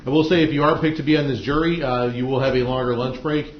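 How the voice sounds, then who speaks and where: A man talks quickly in an echoey, slightly muffled courtroom recording. He is a judge addressing a jury panel informally, punctuating his instructions with uhs. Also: medium pitch, steady tone, slight background noise. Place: indoor courtroom.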